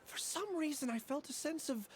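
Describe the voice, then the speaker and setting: A jittery young witness stammers and hesitates with a lively, bouncing pitch. Faint noise sits under the lines. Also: female voice, moderate pace. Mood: earnest.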